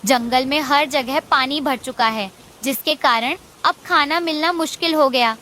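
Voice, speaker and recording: A woman voicing a hungry female forest bird, earnest and imploring, in an animated children's story. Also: high-pitched, unhurried, slight background noise. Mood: worried.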